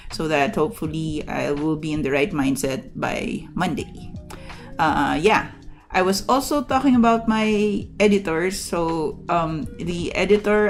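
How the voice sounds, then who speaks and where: Woman streamer, low voice, slow rambly chat with lots of ums, faint lofi music behind. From Philippines. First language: Tagalog. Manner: rambling, halting, many fillers and restarts. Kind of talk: casual chat to stream viewers.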